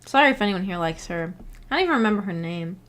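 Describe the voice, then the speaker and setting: Female livestreamer, mid-pitched voice, giggly and rambling, reading and answering chat casually. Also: animated, fast talker, fairly bright tone. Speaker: streamer, book club host. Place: indoor home streaming setup.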